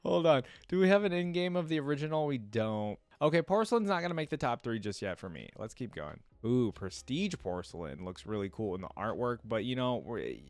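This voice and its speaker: A man's voice: mid-range voice, sing-song, a little fast, hardly any pauses, darkish tone, no room sound. A man hosting a reaction video, talking casually and thinking aloud as he addresses his viewers.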